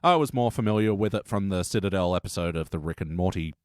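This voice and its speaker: A man's voice: sits low, lively intonation, quick pace, dry recording. A man hosts a loose, jokey review podcast. He banters easily with the others in a casual register, and the sound is very dry with hardly any room around his voice. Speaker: podcast host. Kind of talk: casual comedy review chat.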